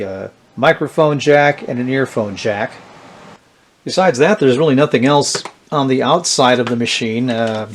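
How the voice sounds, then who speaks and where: A man giving a casual, unhurried product demonstration, haltingly thinking aloud as he presents vintage electronics. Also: medium pitch, a little hiss or hum. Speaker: vintage electronics collector presenting his gear.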